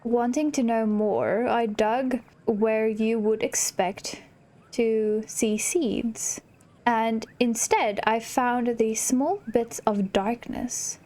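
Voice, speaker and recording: A woman's voice: on the high side, fairly even intonation, slow pace, darkish tone. A woman hosting a casual let's play, commenting on the game and reading its dialogue aloud. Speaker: gaming channel host.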